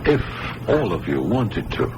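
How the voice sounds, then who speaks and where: A kindly male psychiatrist, measured and reassuring. His low voice moves with lively inflection over a noisy old broadcast recording. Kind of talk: radio drama acting.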